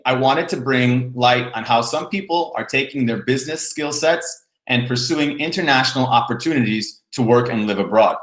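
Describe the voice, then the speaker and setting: A man works as the host of an interview show. His voice sits at an ordinary male pitch, and the sound is noticeably roomy, with echo around his words. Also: fairly bright tone. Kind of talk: video interview show hosting.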